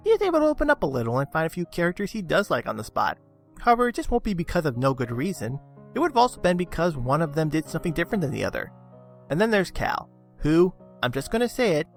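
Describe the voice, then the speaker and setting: A man reading a scripted review as a video reviewer. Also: on the high side. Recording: music under the voice. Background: background music.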